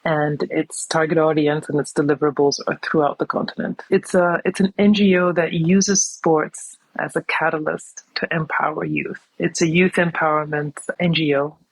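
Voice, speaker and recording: A woman's voice: sits low, steady tone, normal speed, hardly any pauses, husky, no echo, rough recording. A woman from New York, a podcast guest talking in a reflective, conversational way and often saying "you know". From New York City, United States. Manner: reflective, thoughtful, many "you know" fillers. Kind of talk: casual conversational interview.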